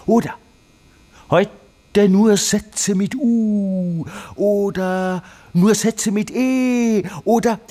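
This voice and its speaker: A man's voice: high voice, mellow, heavily acted. An actor playing an obsessive, tyrannical man fanatically studying hearing in a radio monologue, with intense, emphatic delivery. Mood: agitated, obsessive. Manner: intense, emphatic, drawn-out delivery of key words. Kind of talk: dramatic literary radio monologue.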